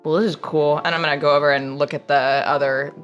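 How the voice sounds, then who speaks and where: A woman's voice: deep voice, fairly even intonation, quick pace, dull audio. A woman talking casually as a player in a tabletop roleplaying game. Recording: background music under the voice. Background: music.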